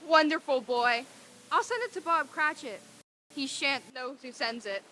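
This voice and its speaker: A woman's voice: high voice, lively intonation, measured pace, bright, forceful tone, slight background noise, exaggerated voice. A woman playing a reformed, overjoyed old miser in a theatrical old-time radio drama reading, with exclamatory delivery. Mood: giddy delight.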